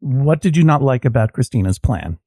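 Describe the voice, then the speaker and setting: A man's voice: medium pitch, big pitch swings, moderate pace, mellow, breathy edge, no echo, studio quality. A man hosting a podcast as a mock judge, questioning guests with wry jokes and rambling asides. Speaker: podcast host acting as judge. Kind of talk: playful comedic questioning.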